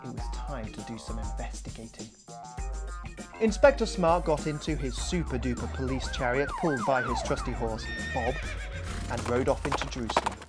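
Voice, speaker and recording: A man reading a children's mystery story expressively, as its author, with deliberate dramatic pauses. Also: mid-range voice, huge pitch swings, music in the background.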